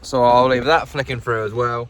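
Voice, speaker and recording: A man's voice: mid-range voice, fairly even intonation, normal speed, a bit bright. A British man with a mid-range voice talks casually to camera as a hobbyist host. His delivery is conversational and wry, with understated humour. He is speaking from inside a parked pickup truck. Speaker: radio hobbyist vlog host. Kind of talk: casual vlog chat to camera.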